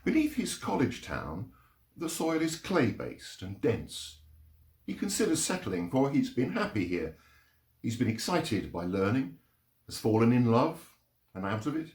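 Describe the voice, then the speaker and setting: Expressive man reading literature, slow and echoey. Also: medium pitch. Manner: measured, expressive storytelling delivery. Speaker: reader of poems and short stories. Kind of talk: literary reading aloud, performed narration. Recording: roomy, echoing sound.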